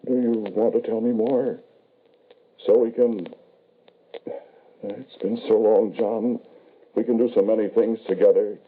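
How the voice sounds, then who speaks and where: Grieving older father, hesitant, desperately loving, lowish voice, old radio drama, narrow tinny sound. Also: fairly even intonation, unhurried, long pauses, breathy edge. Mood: anxious. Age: middle-aged or older. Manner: hesitant, stammering, pleading. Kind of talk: 1940s radio horror acting. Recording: noisy, tinny old-time radio recording.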